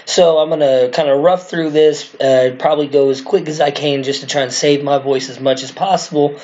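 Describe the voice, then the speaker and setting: A man with a hoarse, worn-out voice hosting a casual sports podcast, rambling excitedly as a fan. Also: on the high side, moderate pace, dull audio. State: hoarse from screaming. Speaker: podcast host.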